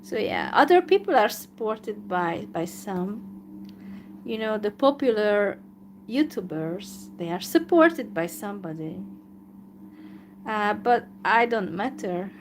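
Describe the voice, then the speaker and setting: Slow, downcast Hungarian-accented woman. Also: mid-range voice, animated, slight background noise. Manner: downcast, resigned and hesitant, with many pauses. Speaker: YouTuber. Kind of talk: live video monologue.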